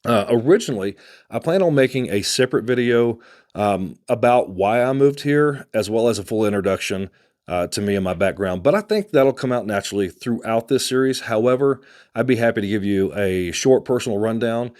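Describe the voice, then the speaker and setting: A man from Texas with a Texan accent, hosting a casual talk to camera, conversational and unhurried, with fillers and restarts. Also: mid-range voice, animated, darkish tone. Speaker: host.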